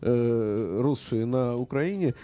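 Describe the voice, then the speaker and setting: A middle-aged man in casual political chat, talking haltingly with many fillers and restarts. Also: medium pitch, lively intonation, dark tone.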